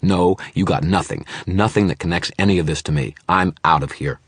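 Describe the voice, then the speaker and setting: A man's voice: deep voice, a little fast, husky, no room sound, pitched above the performer's own voice. A man voicing a frightened, nervous male photographer who babbles in panic. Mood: panicked.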